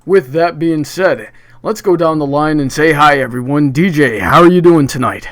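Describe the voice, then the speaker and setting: A man's voice: on the high side, moderate pace, no echo. Upbeat man hosting a debate stream. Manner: chatty and upbeat, frequent "uh" restarts. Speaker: debate livestream host. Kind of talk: casual hosting chat.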